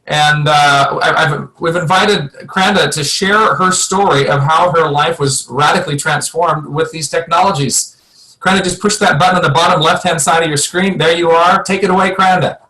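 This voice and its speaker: A man's voice: on the high side, fairly even intonation, moderate pace, pushed and bright. A man hosting a sales webinar, talking in an upbeat and persuasive way. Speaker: webinar host, product presenter. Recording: echoey room.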